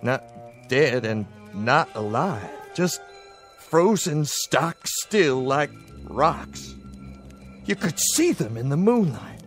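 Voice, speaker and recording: An actor playing a shaken Confederate soldier, telling his story in a halting, awed way in an old-time radio drama. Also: medium pitch, very animated, a bit bright. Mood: shaken awe. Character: shaken Confederate soldier, middle-aged man. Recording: old radio recording with music.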